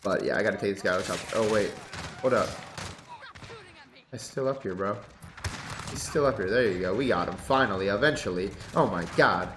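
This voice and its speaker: A man's voice: lowish voice, fairly quick, long pauses, echoey room, background noise. A young man with a congested voice, casually talking through his game settings and commentating gameplay on a headset mic. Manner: laid-back, excitable bursts during play. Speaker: competitive gaming YouTuber. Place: home gaming setup. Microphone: headset with boom mic.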